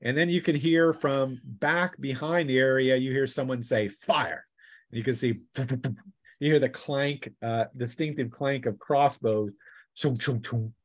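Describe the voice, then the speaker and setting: A man's voice: medium pitch, a little slow. A man acting as game master of a tabletop role-playing game, narrating casually off the cuff and throwing in vocal sound effects. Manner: acts out sound effects and cries.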